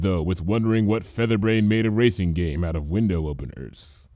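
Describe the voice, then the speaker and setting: Animated man narrating a comic story. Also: mid-range voice, no echo, clean studio sound. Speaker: fan fiction reader, narrator.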